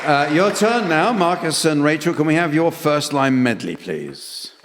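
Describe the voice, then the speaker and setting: Deadpan man hosting in a reverberant hall. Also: a little high, unhurried, loud music behind the voice, over a noisy crowd. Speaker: chairman of a comedy panel show. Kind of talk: scripted comic hosting with dry asides. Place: theatre stage before a live audience. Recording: hall reverb, audience and background noise.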